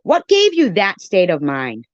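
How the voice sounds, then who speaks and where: A woman's voice: mid-range voice, huge pitch swings, measured pace, no room sound, muffled recording. A woman giving an informal live-stream rant in an irritated, emphatic way, repeating phrases to drive her point home.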